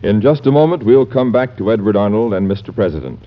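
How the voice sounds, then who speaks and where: An American man announces on old-time radio in a low voice. His scripted delivery is formal, earnest and persuasive, and the recording sounds dull. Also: expressive, fast talker, loud music behind the voice.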